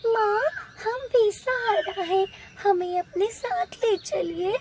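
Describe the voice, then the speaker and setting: A woman voicing a pleading, childlike orphan girl in an animated moral story. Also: very high-pitched, takes it slowly, a little hiss or hum, voice pushed up. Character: orphaned little girls, pleading, sweet and eager.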